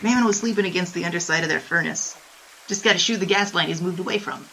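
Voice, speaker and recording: A woman's voice: lowish voice, fast talker, heavy background noise, lightly acted. A woman voicing a brash, wisecracking 1920s bandit who talks in tough-guy slang, in an audio drama. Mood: scheming.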